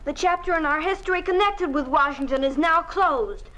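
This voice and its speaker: A woman's voice: high voice, moderate pace, pushed and bright. An actress playing an indoctrinated twelve-year-old girl in a 1950s radio drama, prim and coolly accusing. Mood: zealous.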